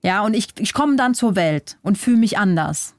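A young German-born woman author whose first language is Vietnamese, speaking reflectively in a relaxed interview and thinking aloud with small restarts. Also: mid-range voice, normal speed, no echo, studio quality. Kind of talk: casual interview talk.

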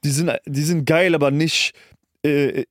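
A man's voice: a little high, steady tone, a little slow, no room sound, studio quality. A young man chatting casually as a podcast co-host, in colloquial, slangy youth speech. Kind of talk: casual chat.

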